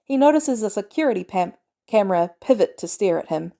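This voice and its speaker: Mellow-voiced woman narrating fiction. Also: mid-range voice, animated, a little fast, vocal fry. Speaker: audiobook narrator. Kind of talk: expressive scripted fiction narration.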